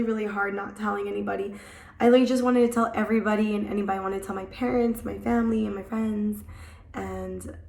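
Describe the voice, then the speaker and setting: A young woman vlogging in a casual, rambling way, her voice hoarse from a cold and just coming back. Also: medium pitch, reverberant. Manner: chatty, rambling, many "like" fillers. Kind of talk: casual chat to camera. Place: at home.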